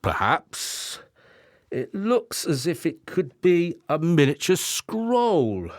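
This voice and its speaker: A man's voice: fairly high pitch, sing-song, takes it slowly, dry recording, studio quality. A man playing an anxious, hard-drinking, henpecked husband in a radio play, wry and theatrical. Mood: fretful, intrigued.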